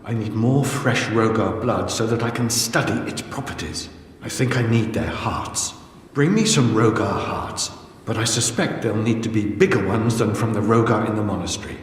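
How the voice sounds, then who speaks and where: Obsessive fantasy-game scholar male actor, low voice, earnest and hesitant, heavily reverberant hall. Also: close to an everyday voice. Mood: eager. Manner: earnest, halting. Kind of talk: fantasy game dialogue acting. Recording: very echoey hall, faint noise.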